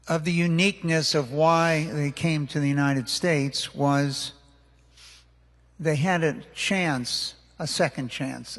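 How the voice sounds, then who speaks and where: A man interviews on stage in a measured, slow delivery. His voice is a little high for a man and carries the long reverb of a big hall. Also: a bit bright. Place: large indoor hall before an audience.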